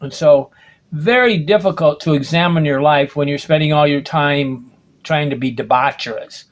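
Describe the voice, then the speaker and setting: A man's voice: a little high, normal speed. A man in his late sixties from the United States, talking through a rambling personal video monologue, often restarting sentences and checking in with "okay?". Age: 60s. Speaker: video blogger.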